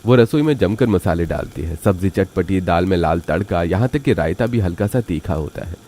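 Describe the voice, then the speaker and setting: Deep-voiced man narrating a story. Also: lively intonation, normal speed, dark tone.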